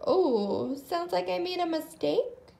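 Woman as a high, eager young girl genie. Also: animated, slow pace, gravelly, warm tone, reverberant, full character voice. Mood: apologetic.

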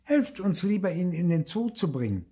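An older man voicing a brave young boy in a storybook reading, calling out in a high, eager voice. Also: moderate pace, breathy edge, full character voice. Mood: confident. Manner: high excited calls.

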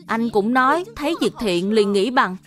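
A woman's voice: fairly high pitch, animated, moderate pace, lightly acted. A woman voicing an earnest, questioning young boy who is an older brother, in a children's cartoon dub. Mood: protesting.

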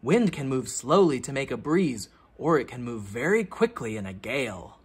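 A man reading a children's science book aloud like a teacher, with theatrical emphasis and clear explanatory phrasing. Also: medium pitch, sing-song, moderate pace.

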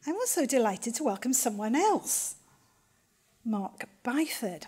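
A woman's voice: a little high, big pitch swings, measured pace, darkish tone, husky. A middle-aged woman giving a prepared introduction as host of an event in a lecture theatre. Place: university lecture theatre, at lectern.

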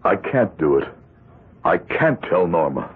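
An actor playing a desperate, cornered accountant, flustered, pleading and halting, in a 1970s radio drama. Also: male voice, deep voice, very animated, breathy edge, exaggerated voice. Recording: muffled old radio broadcast with music.

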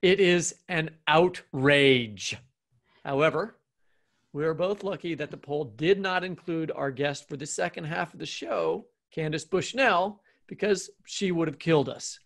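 A man's voice: a little high, a little slow, creaky, fairly bright tone. A man co-hosting a podcast, chatting and interviewing in a conversational way. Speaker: podcast co-host.

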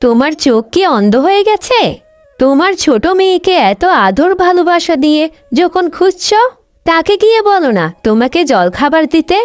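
একজন মহিলার অভিনয়ে উঁচু গলার অহংকারী, ঝগড়াটে বড় বোন. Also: female voice, very high-pitched, sing-song, normal speed, a little mellow, no room sound. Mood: irritated, sulky. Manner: scolding, complaining. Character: vain, selfish, sharp-tongued young elder sister. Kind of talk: Bengali cartoon story voice acting.

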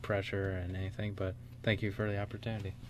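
A man's voice: deep voice, little pitch movement, fast talker. Hesitant young man, noisy recording. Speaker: radio show guest. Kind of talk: casual, hesitant remarks.

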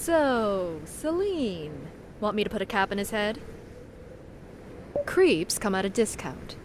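A cocky gun-for-hire in a fantasy game. She drawls out her words slowly and flippantly at medium pitch, with clear ambience behind her. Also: expressive, background noise. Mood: flippant menace. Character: cocky, casual female mercenary gunslinger.

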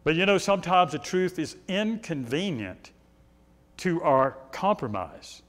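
Middle-aged male pastor, loud carrying voice, high and lively delivery, long church reverb. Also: unhurried. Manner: animated preaching, pacing and gesturing, rhetorical questions. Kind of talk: sermon. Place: church sanctuary, indoors.

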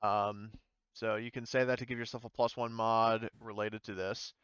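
A man's voice: fairly low pitch, little pitch movement, normal speed, fairly bright tone, clear, resonant tone, no echo, lo-fi sound. A man running an online tabletop game as game master, explaining casually with hesitant, trailing asides. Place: indoors on an online voice call.

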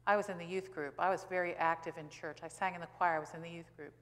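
An American woman in her sixties, a pastor giving a sermon in a conversational, emphatic way with rhetorical questions and pauses for stress. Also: fairly low pitch, steady tone. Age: 60s. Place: church sanctuary, indoors at a pulpit. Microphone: pulpit gooseneck microphone. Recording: reverberant church hall.

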